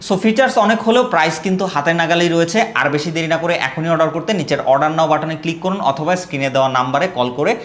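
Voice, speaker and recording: A man with a Bangladeshi accent presenting a product in a scripted promotional pitch, mixing in English words. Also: fast, hardly any pauses, fairly bright tone, roomy sound. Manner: code-switches into English words.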